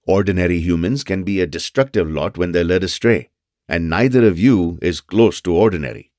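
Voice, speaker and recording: A deep, dry-recorded actor's portrayal of a fatherly man, a psychologist and mentor in an audio drama. He is earnest and measured, choosing formal, careful words. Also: darkish tone, dry recording, natural voice. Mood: grave, earnest. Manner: formal, measured, wordy phrasing.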